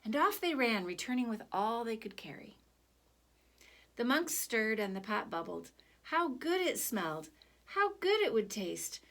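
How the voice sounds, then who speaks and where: A woman storyteller reading a picture book aloud for children in an expressive, animated way. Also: fairly high pitch, measured pace.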